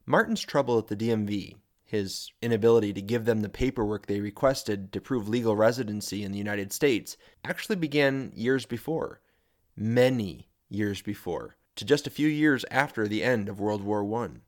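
A man who hosts the show, narrating a true story in a measured, scripted way that builds suspense. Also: on the low side. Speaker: host and narrator.

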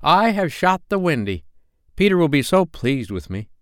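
Man playing an eager, high-voiced storybook boy. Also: big pitch swings, normal speed, a bit bright, smooth, dry recording, studio quality. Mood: proud. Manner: eager, exclaiming. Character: excitable, simple young boys of an adventure gang.

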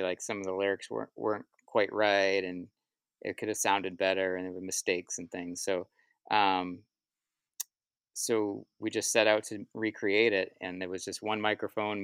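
A man talking casually as an interview guest, a musician telling stories in a halting, reflective way and often repeating his words as he goes. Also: lowish voice, clear, resonant tone, dry recording. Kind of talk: casual interview answers, storytelling.

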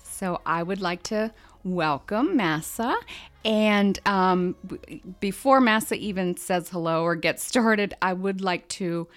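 A woman's voice: medium pitch, slow pace. Chatty woman nurse hosting a podcast. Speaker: podcast host, wound care nurse. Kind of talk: casual podcast chat and read sponsor spot.

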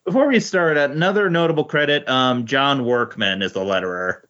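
A man speaking as a podcast guest in a casual comic book discussion, halting and often repeating words. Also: mid-range voice, a bit bright. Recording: dull lo-fi voice recording.

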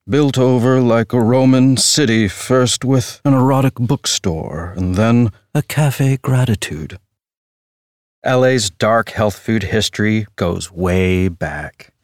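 An actor playing a flippant, showy true-crime tour guide, narrating with wry theatrical flair. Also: male voice, lowish voice, no echo, clean studio sound. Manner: theatrical, wry, drawn-out storytelling.

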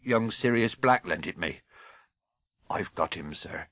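A man's voice: sits low, lively intonation, measured pace, fairly bright tone, no room sound. A man voicing a huge, shaggy, tender-hearted giant who is humble, rustic and emotional, in an audiobook. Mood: respectful. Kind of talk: audiobook character voice.

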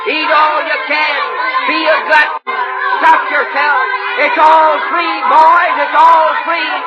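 Midway barker: very high, loud, repetitive hawking for old radio, roaring crowd and heavy noise. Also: male voice, little pitch movement, takes it slowly, lo-fi sound. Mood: hawking, urgent. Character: loud, hectoring male carnival barker. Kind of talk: 1930s-1940s radio drama acting.

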